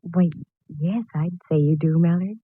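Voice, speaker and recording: An actress playing a wry, quick-witted female private eye in a 1940s radio detective drama, breezy and wisecracking. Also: lowish voice, breathy edge, dry recording. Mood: expectant. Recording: noisy, dull vintage radio recording.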